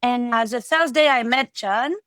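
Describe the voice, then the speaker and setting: Guest voice: French-accented woman, thirties, higher pitch, dry close sound, chatty with lots of fillers. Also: normal speed, fairly bright tone, clear, resonant tone, no echo. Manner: chatty, many fillers and restarts. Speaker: podcast guest, product executive. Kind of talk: casual interview talk.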